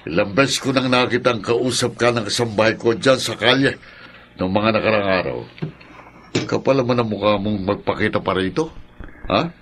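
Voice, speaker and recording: A man's voice: on the low side, normal speed, fairly bright tone, slight background noise, lightly acted. A man playing a harsh, irate older householder in a radio drama, scolding and threatening. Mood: enraged. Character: irate, harsh old male householder and employer.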